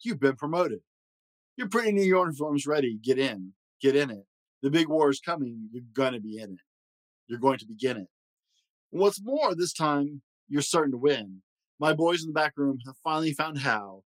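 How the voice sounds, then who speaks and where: A man's voice: fairly high pitch, lively intonation, normal speed, no echo, close to an everyday voice, poor audio. Man reading as a hearty, commanding storybook chief. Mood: rousing. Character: rousing, confident old military chief, male, storybook.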